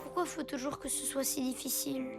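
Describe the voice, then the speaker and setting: An eager, headstrong young cartoon heroine, with a very high voice. She speaks earnestly and with emphasis, like a little girl leading her team, over loud music. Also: steady tone, a little fast. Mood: dejected. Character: eager, headstrong young superhero girl who loves dinosaurs. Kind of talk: children's cartoon dub acting. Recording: loud music bed behind.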